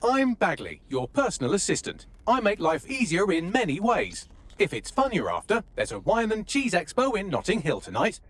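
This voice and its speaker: A man's voice: high-pitched, huge pitch swings, moderate pace, no echo, heavily acted. A man voicing a snarky, verbose AI assistant in a video game, with a haughty, theatrical manner. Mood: sardonic. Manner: haughty, sardonic, theatrical, wordy. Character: snarky, verbose artificial-intelligence assistant with male voice.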